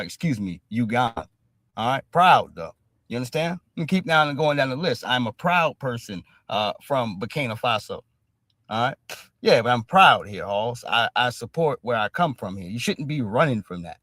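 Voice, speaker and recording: A man's voice: medium pitch, a bit bright, no room sound. A man hosting a stream, ranting in emphatic commentary and repeating "all right?" after nearly every phrase. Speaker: streamer host.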